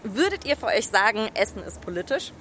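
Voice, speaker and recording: A woman's voice: on the high side, big pitch swings, fairly quick, background noise. A woman asking questions as a street interviewer at an outdoor market. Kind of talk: vox pop interview questions.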